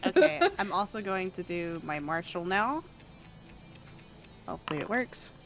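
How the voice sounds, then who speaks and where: Animated, giggly woman gamer. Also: on the low side, moderate pace, gravelly, over music. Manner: giggly, hesitant, questioning. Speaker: tabletop game player. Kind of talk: casual in-game chat and narration.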